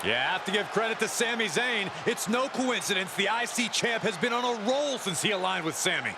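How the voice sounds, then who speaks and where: Ringside analyst for a wrestling game: opinionated, high voice, loud noise around. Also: male voice, lively intonation, fairly quick. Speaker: wrestling commentator. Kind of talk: sports commentary in a video game.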